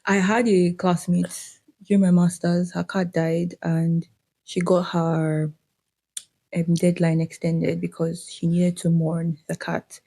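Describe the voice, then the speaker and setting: A woman's voice: fairly low pitch, little pitch movement, slow pace, warm tone. A young Nigerian woman co-hosting a podcast, chatting in a reflective, wry way with a Nigerian accent and bits of slang. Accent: Nigerian English. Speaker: podcast co-host. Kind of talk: casual chat.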